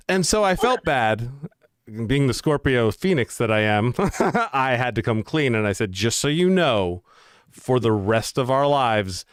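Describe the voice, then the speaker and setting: Laughing, jokey man with a lively voice. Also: medium pitch, clean tone, dry recording, clean studio sound. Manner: jokey, laughs often, drifts into movie quotes. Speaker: podcast co-host. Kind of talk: casual chat.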